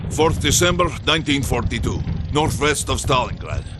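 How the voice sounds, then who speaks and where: An actor playing a stern, rousing Soviet army commander, giving an emphatic battle briefing in a video game. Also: male voice, mid-range voice, sing-song, normal speed, close to an everyday voice. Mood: fervent, merciless. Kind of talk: dramatic military briefing, video game cutscene. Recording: music behind the voice. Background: loud music.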